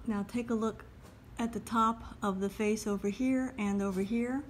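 A woman calmly talking through a step-by-step drawing lesson as she sketches at a table. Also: medium pitch, a little hiss or hum. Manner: calm, unhurried, talks while drawing. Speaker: drawing instructor. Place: at a table indoors.

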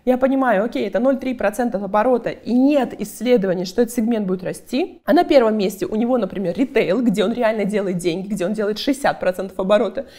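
A woman PR agency head giving interview answers, brisk and talkative, explaining through client examples. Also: mid-range voice, dark tone. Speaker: PR agency head, guest expert.

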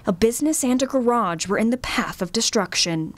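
A young woman field reporter reading a news package in a measured, clipped way. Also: fairly high pitch, animated.

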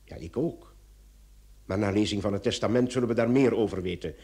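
An actor playing a formal, dryly probing police commissioner in a radio play, measured and sometimes hesitant. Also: male voice, on the low side, a little mellow, natural voice. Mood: courteous, dry. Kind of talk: old radio play acting.

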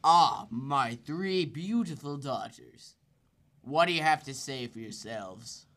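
A man playing a gravelly aging mafia boss. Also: mid-range voice, lively intonation, slow, a bit bright. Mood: gruff.

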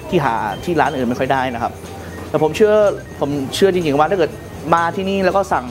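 A male executive chef in his thirties, from Thailand, speaks to camera in a calm, measured way, slipping in English terms. A clear music bed plays under his voice in the kitchen. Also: fairly high pitch. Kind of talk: to-camera introduction. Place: restaurant kitchen indoors. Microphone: lapel.

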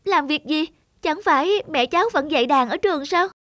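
High-pitched, emotional cartoon little girl. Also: fairly quick, fairly bright tone, no echo, studio quality. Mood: worried, remorseful. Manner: emotional, pleading. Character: distressed, bitter young girl who lost her leg. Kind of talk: cartoon drama acting.

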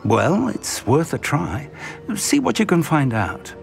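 Gravelly old professor. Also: male voice, fairly high pitch, wildly swooping pitch, soft background music, heavily acted. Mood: pondering. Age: older. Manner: measured, reflective, gentlemanly. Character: thoughtful, cautious old male professor and mentor. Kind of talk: video game cutscene acting.

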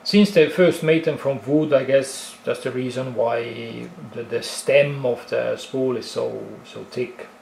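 A man's voice: medium pitch, steady tone, slow pace, vocal fry, hardly any pauses, a little mellow, reverberant, a little hiss or hum, low-quality recording. A man with an Estonian accent casually explaining film cameras in a tutorial, with frequent fillers and restarts. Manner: talks with many fillers and restarts, explaining step by step. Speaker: film photography hobbyist presenter.

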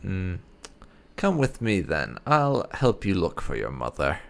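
A man's voice: sits low, huge pitch swings, a little slow, creaky, fairly bright tone. A man doing playthrough commentary, musing and reading his character's dialogue choices aloud. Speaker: gaming channel host roleplaying his character.

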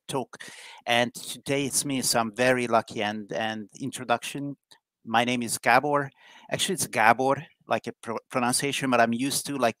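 A man in his forties from Hungary with a Hungarian accent, a guest on a video-call interview, halting and self-deprecating. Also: medium pitch, a bit bright, no room sound. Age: 40s. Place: home room indoors. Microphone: headset on video call.

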